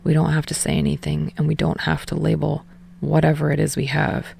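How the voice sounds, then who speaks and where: A woman voicing a reserved, gentle young man in love in an audiobook, speaking quietly and carefully. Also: low voice, monotone, fairly quick, vocal fry, slight background noise, pitched below the performer's own voice. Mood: tender, reassuring. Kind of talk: fan fiction audiobook character voice.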